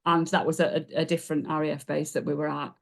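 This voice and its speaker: A woman with a Yorkshire accent tells her story as a podcast guest. Her voice sits a little low, and she talks in a chatty, self-deprecating way, laughing at herself now and then. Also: flat delivery, quick pace, creaky. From Yorkshire, England. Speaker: podcast guest, business coach. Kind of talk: casual interview storytelling.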